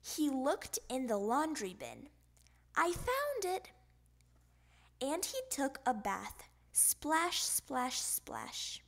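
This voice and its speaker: A woman's voice: sits high, expressive, slow, vocal fry, hardly any pauses. A woman reading a children's picture book aloud as narrator, expressively, with playful sound words. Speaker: storybook narrator.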